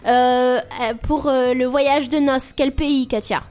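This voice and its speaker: High-voiced woman hosting casual radio. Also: fairly even intonation, slow, darkish tone, faint music behind. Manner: informal, slangy, teasing. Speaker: radio show host. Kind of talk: casual, joking radio chat with a quiz. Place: radio studio.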